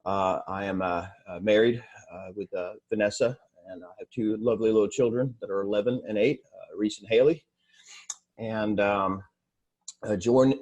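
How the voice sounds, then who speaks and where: Husky, halting Swedish-born man in his forties. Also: fairly low pitch, darkish tone, lo-fi sound. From Sweden. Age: 40s. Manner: halting, many fillers and restarts. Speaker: interview guest. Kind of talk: casual interview.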